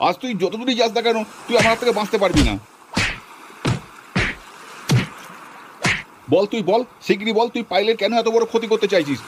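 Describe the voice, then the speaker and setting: A man's voice: high-pitched, very fast, background noise, close to an everyday voice. A man playing a devoted young husband searching for his wife, calling out anxiously. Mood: angry. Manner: calling out loudly, anxious and urgent. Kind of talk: amateur home-video drama.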